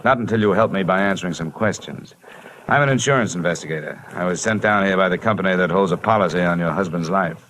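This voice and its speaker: An actor playing a hard-boiled, wisecracking insurance investigator in old-time radio detective style, clipped and sardonic. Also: male voice, deep voice, quick pace, a bit bright, poor audio. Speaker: lead investigator and narrator. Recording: hissy vintage radio recording.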